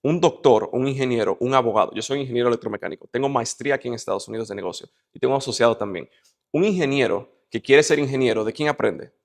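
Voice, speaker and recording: Man, Dominican Spanish accent, medium pitch, emphatic motivational interview talk, entrepreneur guest. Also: fast, gravelly. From Dominican Republic.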